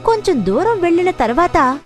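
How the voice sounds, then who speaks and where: A woman narrating a scripted children's story as an expressive storyteller. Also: extremely high pitch, moderate pace, faint music behind.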